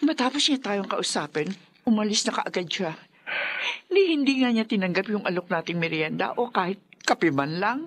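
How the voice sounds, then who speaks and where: A woman's voice: mid-range voice, lively intonation, moderate pace. An actress playing a grieving mother in a radio horror drama, speaking earnestly and insistently. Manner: earnest, pleading.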